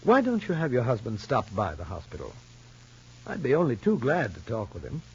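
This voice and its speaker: An actor playing a measured, probing child psychologist in a 1950s soap opera, formal and deliberate. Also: male voice, mid-range voice, wildly swooping pitch, gravelly. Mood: firm, reasoning. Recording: muffled vintage broadcast with faint noise.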